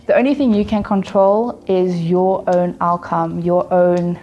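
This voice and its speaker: Earnest, mellow-voiced woman. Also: on the low side, fairly even intonation, measured pace, highs cut off. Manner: earnest, motivational, building to encouragement. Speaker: interviewee. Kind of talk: personal reflection to camera.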